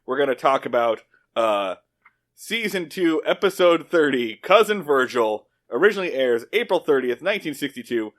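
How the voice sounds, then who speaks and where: A man with a mid-pitched voice co-hosts a podcast in a casual, comedic recap style. His intonation moves around a lot, and he repeats words for emphasis. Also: expressive, moderate pace. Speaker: podcast co-host.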